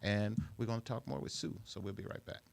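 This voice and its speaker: A man's voice: fairly low pitch, fairly quick, creaky. Man hosting community radio talk. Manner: repeats phrases for emphasis. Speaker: radio talk show host. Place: radio studio.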